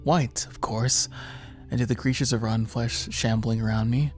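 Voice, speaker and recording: A man's voice: mid-range voice, fairly even intonation, normal speed, soft background music. A man narrating a visual novel aloud and chatting casually in a let's-play.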